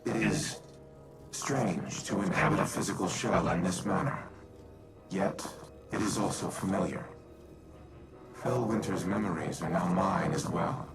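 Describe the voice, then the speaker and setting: Deep creaky robot ai from a video game, formal n solemn, music loud behind. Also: male voice, a little slow, pauses often, poor audio. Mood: detached, formal. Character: Rasputin, stately male war-machine AI in a robot body. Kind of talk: scripted video game dialogue.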